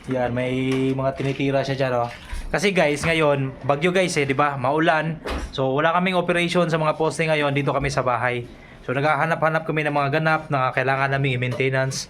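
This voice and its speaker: A man's voice: on the high side, fairly even intonation, fairly quick, a bit bright, a little hiss or hum. A man with a Filipino accent chatting casually as a vlog host, informally addressing viewers and instructing helpers.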